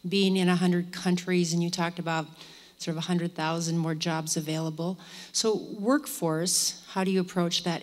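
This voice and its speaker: Moderator, female, lowish voice, meandering question, long hall reverb. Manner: rambling, hedged questioning. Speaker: moderator asking audience questions. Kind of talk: spoken question at a public talk.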